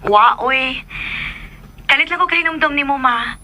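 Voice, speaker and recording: Sweet girly filipino daughter in radio soap, high super bright voice, bubbly and teasing. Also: moderate pace. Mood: cheerful, affectionate. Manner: girlish, affectionate, teasing. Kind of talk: Philippine radio drama acting.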